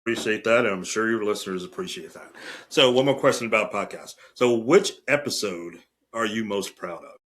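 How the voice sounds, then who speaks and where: A man's voice: on the low side, brisk, fairly bright tone. A man hosting a podcast interview in a conversational style.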